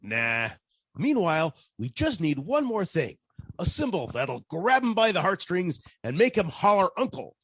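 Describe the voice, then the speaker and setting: Casting: male actor for scheming sketch pitchman, husky, extremely animated, recorded dry. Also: unhurried, no echo, heavily acted. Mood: eager salesmanship. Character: brisk, scheming advertising pitchman in comic sketch. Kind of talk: parody ad agency sales patter.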